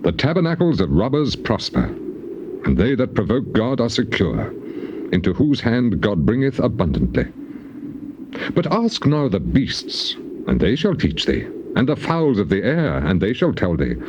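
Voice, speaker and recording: A man's voice: lowish voice, huge pitch swings, normal speed. An actor playing an anguished, bitterly sarcastic righteous man in a dramatized scripture reading, declaiming in solemn archaic phrases. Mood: bitter sarcasm, then grave declaiming. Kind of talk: dramatized scripture reading, classical stage acting. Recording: muffled old cassette tape with clear noise.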